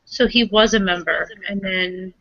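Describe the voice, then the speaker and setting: A woman's voice: medium pitch, measured pace, fairly bright tone, no room sound. A woman playing a tabletop roleplaying game, talking casually in character and in asides. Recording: dry but dull, lo-fi microphone.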